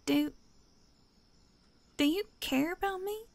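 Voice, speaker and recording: An actress playing a caring, plain-spoken confidante in audio theater, earnest, gentle and folksy. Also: female voice, very high voice, slow pace, vocal fry, no room sound, full character voice. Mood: vulnerable.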